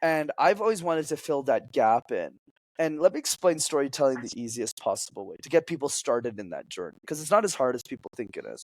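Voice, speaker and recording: A young man who grew up speaking French, explaining storytelling as a public speaking coach in an energetic, teacherly way as a podcast guest. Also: medium pitch, fairly quick, dry recording.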